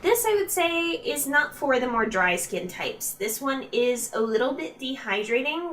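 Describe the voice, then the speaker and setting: A woman's voice: on the high side, fairly bright tone, recorded from a distance. A woman chatting informally to her viewers as a beauty vlogger, reviewing products in a casual, direct way. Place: room at home used for filming.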